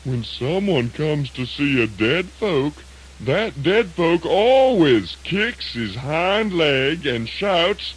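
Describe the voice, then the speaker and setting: Sly folksy rabbit, Southern American drawl, very slow and swooping, for children's radio drama. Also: male voice, fairly high pitch, big pitch swings, low-quality recording. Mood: mock mournful. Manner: slow drawl, musing aloud, exaggerated whoops. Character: sly, folksy male trickster rabbit, mock-mournful and gleeful. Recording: hissy 1980s radio drama recording.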